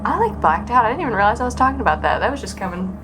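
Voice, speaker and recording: A woman's voice: a little high, rapid-fire. A woman livestreaming a game, chatting casually and rambling in a sarcastic, sweary, griping way. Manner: sarcastic, profane, animated griping. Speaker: live game streamer. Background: game music.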